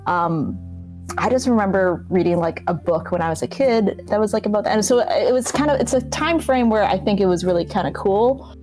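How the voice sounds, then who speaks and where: A woman chatting casually as a game developer guest, halting and correcting herself as she goes. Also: medium pitch, animated, a little mellow. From Canada. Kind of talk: casual chat. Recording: faint music behind the voice.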